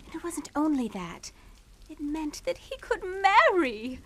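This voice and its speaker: A timid, distraught young Englishwoman in a radio thriller. Her voice is high, and she speaks in halting, tremulous phrases as a daughter under pressure. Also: very animated, sharp tone, no room sound, exaggerated voice. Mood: tearful, anguished. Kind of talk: radio suspense drama acting.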